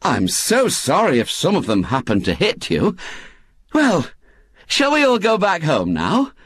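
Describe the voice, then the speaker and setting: A man voicing a brisk, mischievous older woman who feigns innocence. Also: a little high, wildly swooping pitch, a bit bright, husky, no room sound, studio quality, voice pushed up, exaggerated voice. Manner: gleeful, falsely innocent, brisk.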